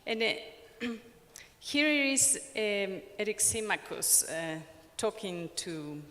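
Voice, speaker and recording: A woman from Ecuador with an Ecuadorian accent, giving an academic conference talk in a halting way, with frequent pauses and restarts. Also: medium pitch, lively intonation, sharp tone, big-room sound. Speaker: conference speaker.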